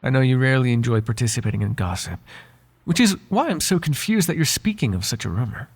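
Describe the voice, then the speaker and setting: Male actor as devoted, courtly butler for ASMR roleplay; soft-spoken, husky, slightly gravelly, politely flirtatious, prone to hesitation. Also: medium pitch, big pitch swings, quick pace. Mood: uneasy curiosity. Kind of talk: ASMR roleplay voice acting, intimate one-sided dialogue.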